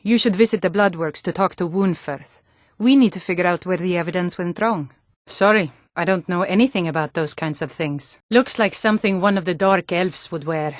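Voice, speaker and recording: A woman's voice: fairly low pitch, moderate pace, no echo, studio quality, lightly acted. An actress playing a stern, world-weary female Nord guard in a fantasy video game, speaking in a blunt, matter-of-fact way. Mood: businesslike.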